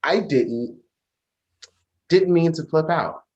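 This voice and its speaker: Halting, insecure young-lover type, swinging pitch man acting. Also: expressive, a little mellow, muffled recording. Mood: defensive, vulnerable. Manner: halting, self-interrupting. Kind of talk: staged play reading, naturalistic dialogue.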